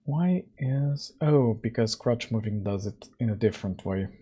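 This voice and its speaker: Hoarse male streamer, low husky voice, unhurried, on a close mic. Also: warm tone. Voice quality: husky, deeper than usual. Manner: slow, thinking aloud with fillers. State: hoarse, recovering, coughing. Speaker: game dev livestream host. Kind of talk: casual coding livestream chat. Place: home room, at night. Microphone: close mic right in front.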